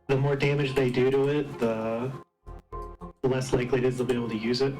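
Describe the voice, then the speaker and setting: Male game player with a middle-range voice, casual tactical discussion, instrumental music clearly underneath. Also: mid-range voice, little pitch movement, a little fast, low-quality recording. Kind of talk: casual game strategy talk.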